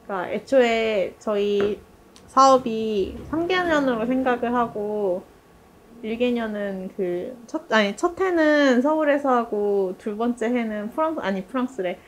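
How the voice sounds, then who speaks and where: A woman's voice: a little high, animated, a little slow, slight background noise. A woman hosting a casual team discussion as a director, chatty and polite, thinking aloud as she talks. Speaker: theatre director hosting a team review interview.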